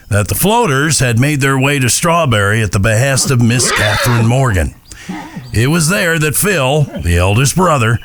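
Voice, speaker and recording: Low-voiced western storyteller played by a man. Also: fairly bright tone, slight background noise. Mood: folksy. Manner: folksy, portentous. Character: folksy, solemn Old West storyteller. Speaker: narrator. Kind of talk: scripted western audio drama narration. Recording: guitar music behind.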